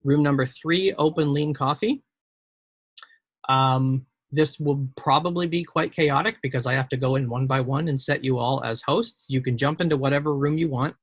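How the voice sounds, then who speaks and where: Husky man facilitating an online meeting. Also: mid-range voice, normal speed, dry recording, highs cut off. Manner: explains steps methodically, thinking aloud. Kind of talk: casual online meeting talk. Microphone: video call.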